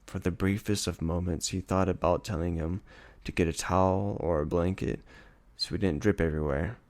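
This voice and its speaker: A male audiobook reader with a low-pitched voice. He delivers scripted fiction as dramatic narration. The recording is dry, with hardly any room sound. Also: fairly even intonation, normal speed, a little mellow, no echo.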